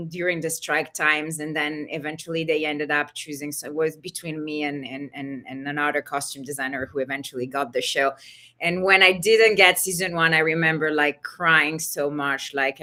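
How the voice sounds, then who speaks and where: A woman's voice: low voice, sharp tone. A middle-aged woman from Italy, a costume designer chatting casually in an interview over a video call, full of "you know" and "like".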